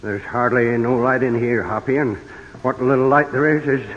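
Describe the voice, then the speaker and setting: An actor playing a folksy, excitable, grumbling cowboy sidekick with an American Western drawl, in old-time radio western style, often hesitant and blustering. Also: male voice, medium pitch, moderate pace, lightly acted. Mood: despairing. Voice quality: folksy, rough-hewn dialect. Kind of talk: 1940s-50s radio western acting. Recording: old noisy radio recording, reverberant, muffled.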